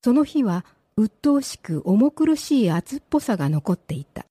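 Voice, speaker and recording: A woman narrating a grave old village disaster tale for a radio drama in a measured, dramatic reading. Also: a little high, very animated, mellow, slightly rough, no room sound, clean studio sound.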